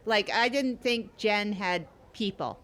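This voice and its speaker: A woman's voice: mid-range voice, takes it slowly, fairly bright tone. A woman playing a blunt, fussy, folksy guest host in improvised comedy podcast banter, often repeating herself. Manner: blunt, chatty, repeats phrases.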